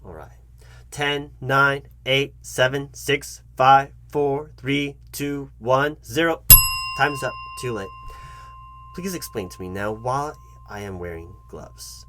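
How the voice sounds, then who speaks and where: A man playing a foolish, patronizing job interviewer in an ASMR comedy roleplay, speaking to the listener in a halting, repetitive, mock-earnest way. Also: medium pitch, background noise, close to an everyday voice. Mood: loud, impatient. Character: foolish, condescending male job interviewer toying with the candidate.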